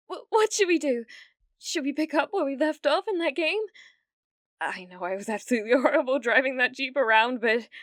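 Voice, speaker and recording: A British woman playing an obsessive, needy young female streamer in an ASMR roleplay, pleading and stammering, swinging between breathy whispers and outbursts. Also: high-pitched, dry recording, clean studio sound. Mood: frantic, eager. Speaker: ASMR voice actress.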